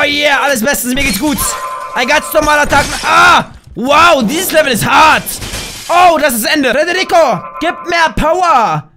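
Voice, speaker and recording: A hoarse young man in his twenties, loudly and playfully commentating a game from a small room at home, talking into a desk mic while wearing a headset. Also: very high voice. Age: young adult. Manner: loud, shouty, laughing, playful exclamations. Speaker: gaming YouTuber. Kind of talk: excited gameplay commentary.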